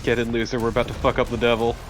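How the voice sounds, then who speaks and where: A man with a medium-pitched voice chats flippantly as a game co-commentator. His remarks are casual and offhand, with clear game noise in the background. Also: completely flat delivery, rapid-fire. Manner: flippant, sweary. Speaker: let's play co-commentator. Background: video game sound.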